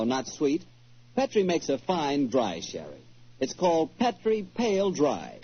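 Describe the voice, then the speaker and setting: A man's voice: on the high side, husky. An American man working as a 1940s radio announcer, polished and salesmanlike in delivery. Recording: muffled 1940s radio recording with music.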